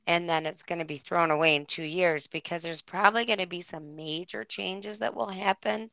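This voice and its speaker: A woman with a hoarse voice giving a tarot reading on air, rambling and searching for words. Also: lowish voice, bright, forceful tone, no room sound, dull audio. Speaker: psychic reader.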